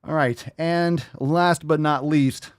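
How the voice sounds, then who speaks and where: A man's voice: a little high, steady tone, takes it slowly, clear, resonant tone, dry recording, studio quality. A man hosting a fitness podcast, reading listener posts aloud and cheering them on in casual, profane, hyped-up chat. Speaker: podcast host.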